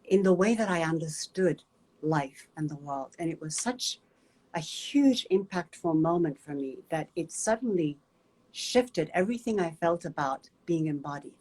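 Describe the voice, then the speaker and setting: A woman, a guest movement teacher telling a personal story in a gentle, reflective way with many pauses. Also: low-pitched, lively intonation, poor audio. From Japan.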